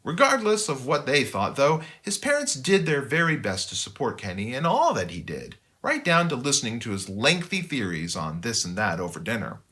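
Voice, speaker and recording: An animated man reads a storybook aloud for children. His voice is bright and swings widely in pitch, and he slips into chatty, expressive asides. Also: moderate pace, few pauses. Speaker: author reading their book aloud.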